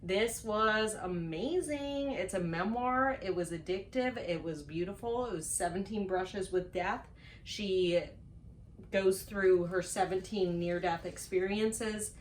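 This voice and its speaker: A woman's voice: mid-range voice, slow, a little hiss or hum. A woman chatting casually to camera as a book reviewer, playful and self-mocking, stretching words for dramatic emphasis. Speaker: book reviewer on a video channel.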